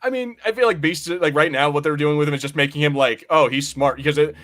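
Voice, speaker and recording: Man, fairly high pitch, podcast co-host, casual explaining, rambling, informal, sweary. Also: fast talker, a bit bright.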